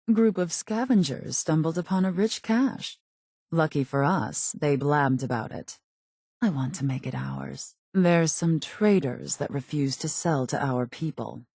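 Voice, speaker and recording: A calculating female raider boss for a video game. Her voice is low and mellow, recorded dry and close. She delivers her orders in a clipped, haughty, businesslike manner. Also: slightly rough, no room sound, full character voice. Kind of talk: scripted video game character dialogue.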